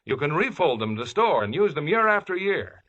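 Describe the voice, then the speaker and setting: Bright, animated American radio pitchman. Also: moderate pace, highs cut off. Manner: polished, salesmanlike, emphatic. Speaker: radio commercial announcer. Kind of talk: 1950s radio advertising read, scripted.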